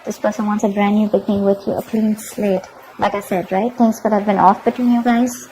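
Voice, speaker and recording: Woman doing a tarot reading, average pitch, keeps saying okay, pushy and repetitive, little bit of hiss behind. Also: level tone, a little fast, warm tone, poor audio. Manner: emphatic, repeats herself, keeps asking "okay?". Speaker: tarot reader.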